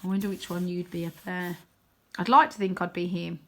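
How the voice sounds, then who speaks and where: A woman reading a children's novel aloud as expressive narration, with dramatic, animated emphasis. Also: lowish voice, a little fast.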